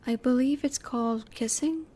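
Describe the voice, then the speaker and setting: A curious female alien, played by a woman in an audio roleplay. Her voice sits fairly high and has a mellow, soft tone. She asks things politely in formal, precise wording, keeping her emotions reserved. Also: moderate pace, husky. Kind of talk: roleplay audio drama, one-sided dialogue to the listener.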